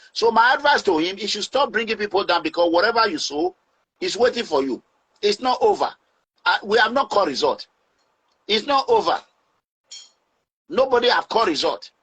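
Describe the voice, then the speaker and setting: A Nigerian man speaking in a forceful, preaching way as he gives advice to his online audience. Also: a little high, husky, rough recording.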